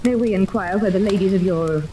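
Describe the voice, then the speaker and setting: A woman plays a genteel, anxious mother in an old radio drama. Her voice is mellow and polite, set back from the mic on a faintly noisy recording. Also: mid-range voice, brisk, far from the mic, close to an everyday voice. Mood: polite, uneasy. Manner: polite, earnest, formal. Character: anxious, genteel wealthy mother seeking her lost daughter. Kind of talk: 1930s radio serial acting.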